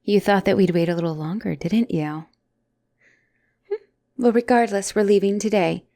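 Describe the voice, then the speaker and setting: Woman voicing unhinged lovestruck young woman, asylum patient, mid-pitched, doting, theatrical, sly menace, audio roleplay. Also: normal speed, close to an everyday voice. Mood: sly, confident. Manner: theatrical, doting, swinging between gushing and hushed menace. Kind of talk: dramatic roleplay audio, addressed to a lover.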